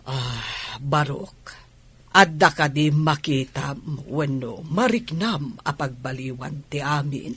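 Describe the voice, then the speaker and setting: A woman's voice: sits low, slow, a bit bright, husky, full character voice. A woman playing an older, well-off aunt who matchmakes and longs for grandchildren, in an Ilocano-accented radio drama. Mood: serious, businesslike.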